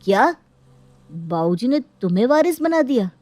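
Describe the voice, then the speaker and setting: A woman's voice: a little high, very animated, moderate pace, a little mellow, no echo. A woman voicing a hardworking shopkeeper, the aggrieved elder brother in a dramatized moral story, earnest and protesting. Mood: indignant.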